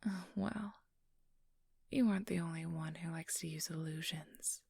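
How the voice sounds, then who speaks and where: A woman's voice: low voice, steady tone, gravelly, a little mellow, slightly rough, no room sound, full character voice. A woman playing a haughty, teasing, ageless vampire thief in an ASMR role-play, whispering breathily and condescendingly close to the microphone. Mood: sly, boastful. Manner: condescending, teasing, drawn-out delivery. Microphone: close, dry microphone.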